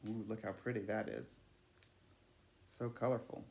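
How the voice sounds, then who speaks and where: A man's voice: fairly low pitch, fairly even intonation, unhurried, gravelly, a little mellow, slight background noise. A man reading a children's picture book aloud as a storyteller. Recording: echoey room.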